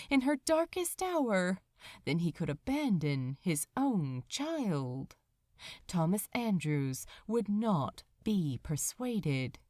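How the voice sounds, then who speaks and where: Female audiobook narrator, dramatic and unhurried, lively intonation, dry sound. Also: mid-range voice, no room sound. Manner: expressive, measured. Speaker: fan fiction narrator.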